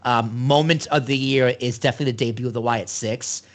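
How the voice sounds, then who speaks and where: A man's voice: medium pitch, fairly even intonation, fairly quick. Enthusiastic man in rambling podcast chat. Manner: loose, rambling, enthusiastic fan talk. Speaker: podcast co-host. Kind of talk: casual chat.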